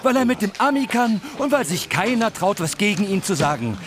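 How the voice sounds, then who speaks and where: Radio play: resentful skeptic, blunt, higher-pitched, noisy backdrop. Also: male voice, sing-song, fairly quick, a bit bright. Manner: blunt, needling, colloquial. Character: sardonic, grumbling man of the people, resentful skeptic.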